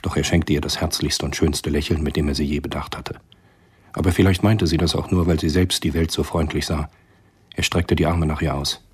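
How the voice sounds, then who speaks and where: A man's voice: rumbling low, steady tone, fairly quick, breathy edge. A man reading scripted narration for a radio play.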